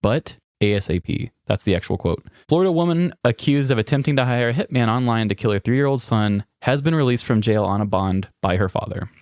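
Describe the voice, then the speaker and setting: A man with a mid-pitched voice co-hosts a podcast in an informal, storytelling way. He moves between casual chat and reading articles aloud, recorded dry with very little room sound. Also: lively intonation, brisk, hardly any pauses, a little mellow, no echo. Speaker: podcast co-host.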